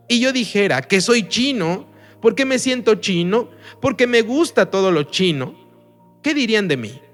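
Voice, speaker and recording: High, lively-voiced man teaching. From Mexico. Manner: emphatic, asks rhetorical questions. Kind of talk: recorded online lesson.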